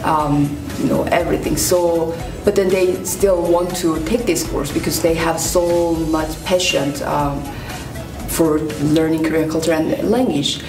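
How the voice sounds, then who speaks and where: A low-pitched woman with a gravelly edge, speaking haltingly with frequent fillers. She sounds roomy and set back from the mic. Music plays underneath. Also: steady tone, far from the mic. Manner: halting, many pauses and fillers. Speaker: instructor. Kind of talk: promotional interview.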